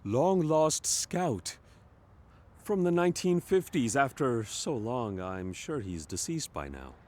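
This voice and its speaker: A man's voice: medium pitch, very animated, slow, a little mellow. A man playing an earnest, wry starship captain in a comic sci-fi audio play, commanding yet reasonable. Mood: puzzled, apologetic.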